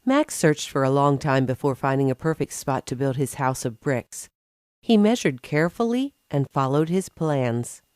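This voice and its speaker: A woman's voice: a bass voice, normal speed, a little mellow, no room sound, studio quality. A woman narrating a scripted children's bedtime story as a storyteller.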